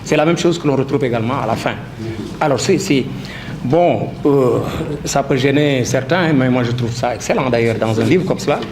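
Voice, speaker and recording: A man, a professor acting as moderator, presenting a book in a halting way full of fillers and restarts. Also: medium pitch. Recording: noisy room with audience chatter.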